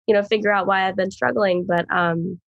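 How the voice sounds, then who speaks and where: A woman guest, hoarse from being sick, talking in a rambling way with many fillers. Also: medium pitch, fairly even intonation, a little fast, dry recording, highs cut off. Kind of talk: casual chat.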